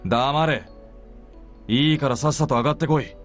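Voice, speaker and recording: An actor playing a stern, commanding armed soldier in a visual novel game, curt in manner. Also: male voice, mid-range voice, fast talker, natural voice. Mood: cold warning. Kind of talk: Japanese visual novel voice acting. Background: game music.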